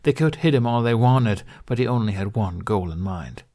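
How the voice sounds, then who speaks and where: A man narrates an audiobook story in a fairly low voice. His delivery is dramatic, scripted fiction storytelling. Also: expressive, moderate pace, dark tone.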